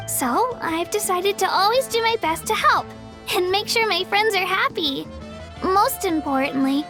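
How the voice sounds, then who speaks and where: A woman's voice: very high-pitched, a bit bright, full character voice. A woman playing an earnest, hopeful young girl in a video game, with a sweet childlike voice. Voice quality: childlike, girlish. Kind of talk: video game character acting. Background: faint music.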